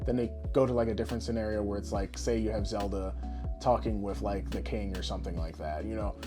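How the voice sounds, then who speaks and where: A man's voice: fairly low pitch, steady tone, quick pace, over music. A man co-hosting a podcast, chatting casually and informally, often explaining things through examples. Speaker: podcast co-host.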